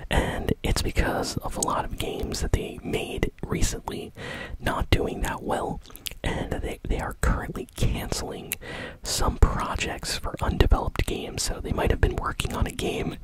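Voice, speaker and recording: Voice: moderate pace, poor audio. A person whispering casually in an ASMR style, hosting a news update in informal, conversational phrasing. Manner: hushed whispering throughout. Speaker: gaming news host.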